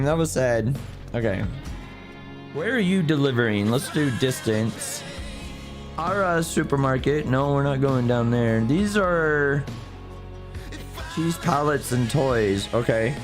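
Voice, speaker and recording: A hoarse man who has nearly lost his voice, chatting casually with viewers and a teammate while livestreaming a game. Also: a little high. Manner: chatty, greets viewers by name. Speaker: streamer playing a driving sim. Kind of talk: casual live-stream chat.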